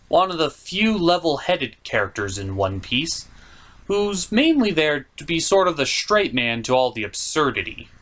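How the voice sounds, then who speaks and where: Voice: mid-range voice, fairly bright tone. A host doing a scripted comedic video review in an opinionated, sarcastic, mock-exasperated way.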